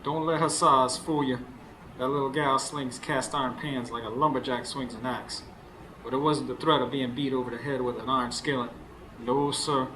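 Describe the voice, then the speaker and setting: An earnest, folksy Southern working man played by a male actor in a radio drama, scheming and confiding. His voice sits at a middle pitch, over clear background noise from a live recording. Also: fairly even intonation, normal speed, bright, forceful tone, close to an everyday voice, low-quality recording. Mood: confiding, rueful. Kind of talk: radio drama acting with first-person narration. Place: stage before a live studio audience.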